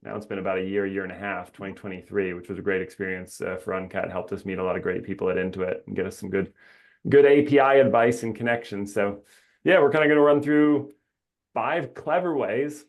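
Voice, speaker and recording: A man's voice: on the low side, fairly quick. A man hosting a webinar in a casual, chatty way, upbeat and rambling, laughing often, heard through a video call mic. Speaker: webinar host.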